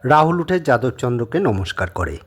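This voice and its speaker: A husky man with a medium-pitched voice narrates an audio story. His intonation swings widely, giving the scripted scenes an animated, dramatic shape. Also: moderate pace.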